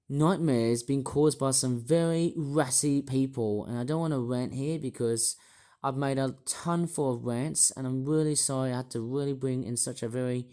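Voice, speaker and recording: A man talks straight to his channel in a croaky, hoarse voice that sits at an ordinary middle pitch. He rambles and repeats himself, circling back with hesitant restarts as he works through his story. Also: warm tone. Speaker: YouTuber. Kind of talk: rambling personal vlog monologue.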